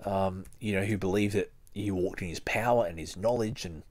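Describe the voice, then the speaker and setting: A man hosting a podcast, reading aloud and commenting casually in a halting, rambling way. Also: sits low, darkish tone, dry recording. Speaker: podcast host.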